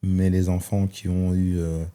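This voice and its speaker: Deep-voiced man, reflective. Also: little pitch movement, a little slow, dark tone, clean tone. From Belgium. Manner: reflective, hesitant with many fillers. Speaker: guest. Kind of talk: personal testimony in conversation.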